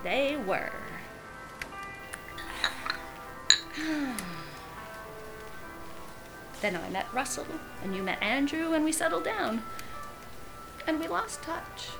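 A woman's voice: high voice, lively intonation, slow pace, creaky, long pauses, music in the background, exaggerated voice, poor audio. A woman playing an arch, pointed middle-aged wife who coolly needles her friend in an audio drama. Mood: wry, nostalgic.

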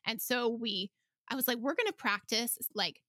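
A woman hosting a casual podcast interview, telling stories in an animated, informal way. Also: a little high, moderate pace, no echo, clean studio sound. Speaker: podcast host; homeschooling parent.

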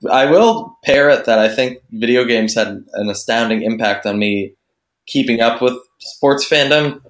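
A man from Boston on a casual podcast, speaking in a lively, self-deprecating way. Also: medium pitch. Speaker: podcast co-host. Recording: roomy echo.

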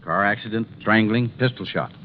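Muffled vintage radio sound, faint noise, actor as formal troubled male company president, deliberate, emphatic. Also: lowish voice, close to an everyday voice. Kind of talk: 1950s radio drama acting. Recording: dull, faintly noisy 1950s radio recording.

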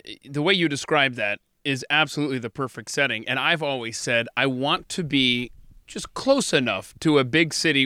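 A man hosting a casual interview, upbeat and quick to laugh, often repeating his words. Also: mid-range voice, sharp tone, clear, resonant tone, dry recording, studio quality. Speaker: podcast interviewer.

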